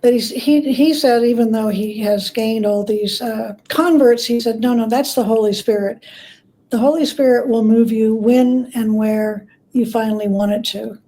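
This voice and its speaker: A woman with a warm, dark-toned voice pitched a little high. She talks as a guest expert in a conversational interview, explaining informally and paraphrasing quotes as she tells anecdotes. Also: normal speed.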